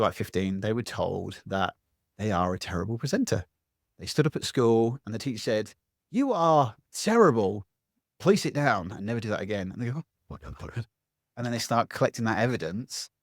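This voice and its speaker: Animated man coaching. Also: on the low side, no echo, studio quality. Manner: animated, acts out other people's voices. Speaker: public speaking coach, podcast guest. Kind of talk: conversational interview answer. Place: at home.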